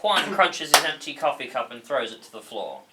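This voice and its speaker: A man's voice: a little high, very animated, moderate pace. A man reading stage directions at a table read, informal and stumbling a little over the script. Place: indoor room. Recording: echoey room with faint noise.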